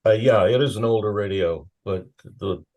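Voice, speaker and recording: A man in a casual meeting discussion, speaking haltingly with pauses mid-thought as a participant. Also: on the low side, a little mellow. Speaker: meeting participant asking questions.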